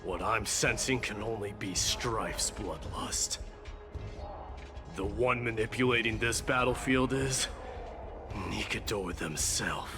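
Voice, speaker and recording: An actor playing a proud, stern immortal warrior prince in a video game, speaking in a blunt, haughty and measured way. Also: male voice. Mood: grim, composed. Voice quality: low-pitched. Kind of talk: dramatic video game voice acting. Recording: game music behind voice.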